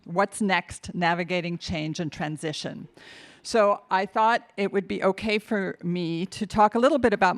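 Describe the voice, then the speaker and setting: A woman from Finland, likely in her fifties, giving a conference keynote talk, a little nervous, with many fillers and restarts. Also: mid-range voice. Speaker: keynote speaker. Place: conference hall.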